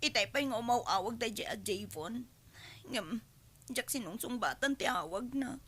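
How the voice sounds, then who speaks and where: A woman's voice: a little high, animated, moderate pace, a bit bright, husky. A woman playing an emotional young bride in a radio drama. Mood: sullen.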